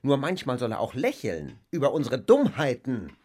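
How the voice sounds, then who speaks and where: A man's voice: mid-range voice, huge pitch swings, moderate pace, darkish tone, slightly rough. An actor playing a bitter, anguished man on crutches in a German radio drama, with brooding, declamatory delivery.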